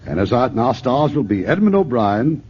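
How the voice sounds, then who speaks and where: A man hosting a 1950s radio theater show with a smooth, announcer-like delivery. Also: mid-range voice, very animated, moderate pace, a little mellow, slight background noise. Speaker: radio host. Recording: dull old radio broadcast.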